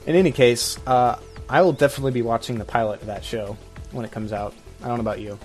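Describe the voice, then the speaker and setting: A man's voice: medium pitch, steady tone, fast, darkish tone, faint music behind. A man co-hosting a podcast in casual, opinionated chat, speaking in a rambling, hesitant way full of filler "like"s. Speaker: podcast co-host.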